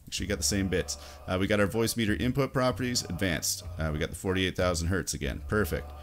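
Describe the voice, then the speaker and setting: A man in his thirties giving a casual, informal software tutorial, explaining step by step at a close desk microphone. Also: low voice, quick pace. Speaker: tutorial host. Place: indoors at a computer desk.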